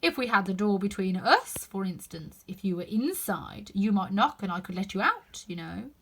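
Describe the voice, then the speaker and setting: A woman voicing a solemn, dim, literal-minded male frog footman in a storybook, slow, loud and pompous. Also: medium pitch, very animated, slightly rough.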